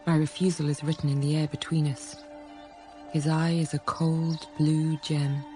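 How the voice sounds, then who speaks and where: A woman's voice: sits low, little pitch movement, unhurried, warm tone, faint music behind. An actress playing a troubled young woman who narrates her inner thoughts in a radio drama, intimate and introspective. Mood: pained, restrained.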